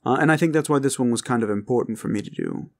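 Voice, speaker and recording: Male host, gravelly mid-pitched voice, earnest informal address to the audience. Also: quick pace. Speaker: audio roleplay creator and host. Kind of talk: informal heartfelt address to listeners.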